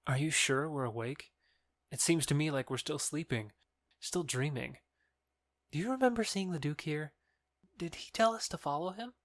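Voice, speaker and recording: A man's voice: a little high, moderate pace, pauses often, no room sound, lightly acted. Man playing an earnest young lover, dry sound. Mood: puzzled. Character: earnest, lovestruck young nobleman. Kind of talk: audio drama acting of modernized Shakespeare.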